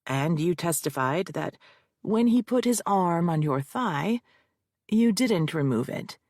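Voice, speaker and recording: A woman's voice: fairly low pitch, animated, takes it slowly, darkish tone, no room sound, studio quality, exaggerated voice. A woman voicing a male defense lawyer, firing off cold, pointed questions in a cross-examination. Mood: needling. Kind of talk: audiobook character reading.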